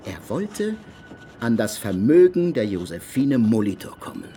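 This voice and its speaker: Radio play: self-assured 1920s expert lecturer, formal, deliberate delivery, faint noise behind. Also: male voice, medium pitch, sing-song, dark tone. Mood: assertive, judging. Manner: formal, measured, pronouncing judgement.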